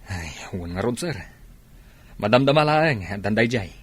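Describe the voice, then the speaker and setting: A man's voice: lowish voice, expressive, moderate pace, breathy edge. A man acting a dutiful soldier reporting to his superior in an Ilocano radio drama. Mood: deferential.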